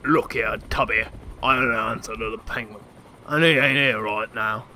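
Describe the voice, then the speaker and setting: An actor playing a cocky, threatening gangland enforcer in a radio drama, with a taunting manner. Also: male voice, on the low side, moderate pace, pushed and bright, slight background noise. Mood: curt, defiant.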